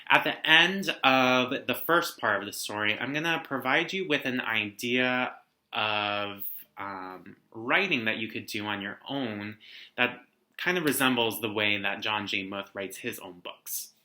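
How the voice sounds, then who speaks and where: Bright-voiced young man in an echoey room. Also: lowish voice, measured pace. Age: young adult. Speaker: read-aloud host, teacher. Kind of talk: casual picture-book read-aloud for students. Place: living room at home. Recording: roomy indoor echo.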